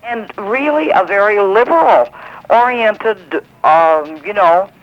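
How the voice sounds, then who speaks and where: Lady phoning a radio show, muffled line, casual and opinionated, pitch swings around a lot. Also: lively intonation, slow. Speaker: phone-in caller. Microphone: telephone. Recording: dull radio phone line.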